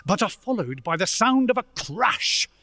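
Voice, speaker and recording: An actor narrating a radio play as a measured, dramatic storyteller of a gloomy ghost tale. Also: male voice, high voice, very animated, pushed and bright, no echo, exaggerated voice.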